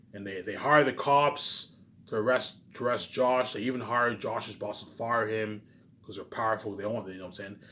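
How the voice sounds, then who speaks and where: A man's voice: mid-range voice, a bit bright. A young adult man giving a rambling, casual monologue in a brash, boastful, repetitive way, with some swearing. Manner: repetitive, tacks on stock words at phrase ends. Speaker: aspiring film maker.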